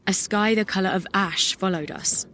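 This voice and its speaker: A woman's voice: medium pitch, normal speed, a bit bright, slight background noise, natural voice. A woman playing a weary young narrator, delivering a lyrical, reflective monologue in an audio play. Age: young adult.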